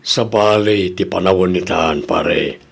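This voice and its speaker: A man acts a blunt friend in an Ilocano audio drama, teasing and admonishing his pal. His voice is low, gravelly and husky, with an Ilocano accent. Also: a little slow, exaggerated voice.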